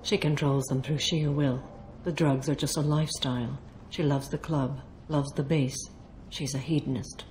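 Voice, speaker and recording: A stern, mature alien avenger for a video game. Her voice is low and grave, with measured, formal phrasing. There is faint noise behind her. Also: fairly even intonation, a little mellow, poor audio. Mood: grave, knowing.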